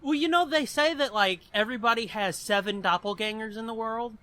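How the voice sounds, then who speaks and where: A man's voice: high-pitched, a little fast, sharp tone. A man co-hosting a podcast in casual comedic banter, crude and sardonic, swearing freely. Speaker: podcast co-host.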